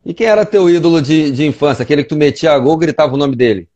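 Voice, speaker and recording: A man's voice: on the high side, fast talker, warm tone. A man hosting a casual interview, talking in a chummy, friendly way. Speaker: interview host. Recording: dull lo-fi sound.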